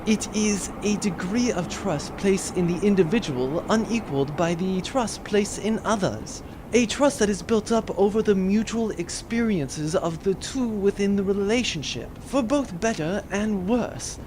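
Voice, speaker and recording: An actor playing a formal, level-headed servant in an audio drama, with measured, pedantic delivery. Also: male voice, high-pitched, noisy background. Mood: calm, reasoning.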